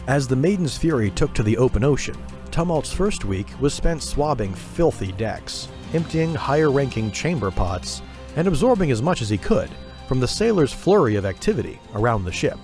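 A man's voice: medium pitch, sing-song, moderate pace, a little mellow. A man narrating a scripted fantasy audio drama in a dramatic storytelling style. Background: music.

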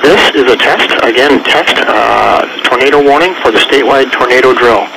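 A man giving a scripted public test announcement in a deliberate, official manner, heard through a weather radio speaker. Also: medium pitch, vocal fry. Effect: played through weather radio speaker. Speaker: emergency alert announcer. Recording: loud noisy radio speaker recaptured in room.

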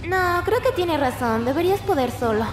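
A woman's voice: very high-pitched, animated, moderate pace, very noisy. A young woman playing a tough, snarky outlaw in a Latin American Spanish video game fan dub, blunt and sarcastic. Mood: dry, amused. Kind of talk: amateur fandub of a video game. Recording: echoey room with music.

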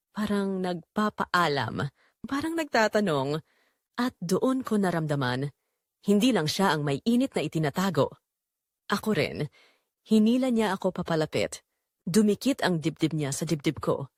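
A woman narrating a scripted first-person romance drama in measured, deliberate short phrases. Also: lowish voice, no room sound, clean studio sound.

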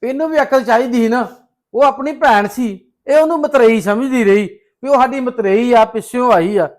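A man acting an angry young son fed up with family quarrels, talking fast and heatedly. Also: extremely high pitch. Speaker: son home from abroad.

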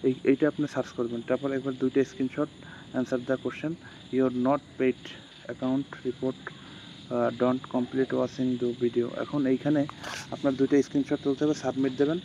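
A man, casually walking through a phone screen recording as a tutorial host, rambling and repeating himself between steps. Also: medium pitch. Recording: dull lo-fi phone recording with steady insect-like background noise.

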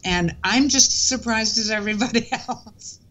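A woman's voice: on the high side, moderate pace, a bit bright. A woman, talking casually and reflectively as an interview guest over a video call from home. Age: 70s. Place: living room at home. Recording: dull lo-fi video call audio.

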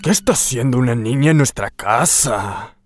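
A man's voice: mid-range voice, expressive, normal speed, music in the background, close to an everyday voice. A man voicing an angry, indignant father bear in a children's cartoon, exclaiming his lines.

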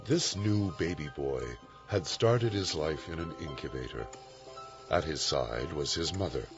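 A man's voice: rumbling low, a little slow. An actor narrating an audio drama morality tale as a solemn male storyteller, with scripted dramatic delivery. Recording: muffled narration over music.

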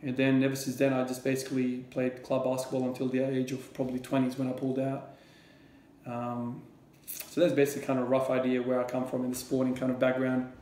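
A man's voice: mid-range voice, monotone, fast talker, warm tone, roomy sound. A man in his thirties from Croatia, answering viewer questions to camera in a casual, rambling way with many fillers and repeated words. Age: 30s. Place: small room at home with shelves. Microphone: camera or laptop at desk distance.

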